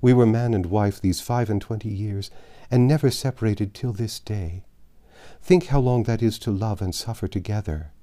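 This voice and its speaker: A man's voice: lowish voice, moderate pace, mellow, close to an everyday voice. Casting: male narrator as gaunt dying peasant wife, storybook tale, archaic and fervent, full of bitter grief. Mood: grieving, fervent. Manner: impassioned archaic speech.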